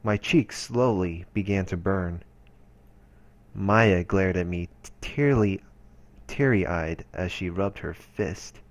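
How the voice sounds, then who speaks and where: A man narrating a visual novel aloud in a measured storytelling manner. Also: deep voice, no echo. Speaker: let's-play narrator. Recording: voice-over with music behind. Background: game music.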